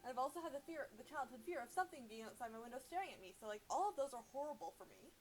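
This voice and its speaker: A woman's voice: high voice, lively intonation, rapid-fire, slight background noise, rough recording. A young woman co-host chatting casually and reacting to stories in a blunt, mock-exasperated way. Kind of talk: casual chat.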